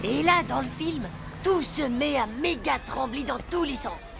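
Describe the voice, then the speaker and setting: Raspy brash cartoon schoolboy. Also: female voice, high-pitched, lively intonation, unhurried, exaggerated voice. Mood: eager storytelling. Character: brash excitable schoolboy, slangy and cheeky. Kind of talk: animated cartoon dialogue. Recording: loud music behind the voice.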